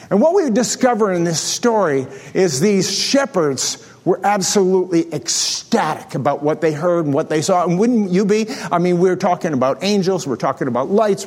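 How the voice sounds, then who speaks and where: A man's voice: high-pitched, darkish tone. A man in his sixties preaching a sermon, reading scripture and telling self-deprecating jokes. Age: 60s. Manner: storytelling, self-deprecating humor. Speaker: pastor. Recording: echoey hall with long reverb.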